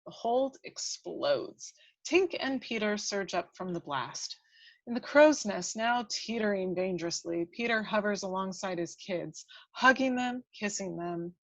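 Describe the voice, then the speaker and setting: Slow, dry-sounding woman narrator. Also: medium pitch, animated, no echo. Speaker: script narrator. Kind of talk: dramatic reading of screen action. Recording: dull lo-fi, dry microphone.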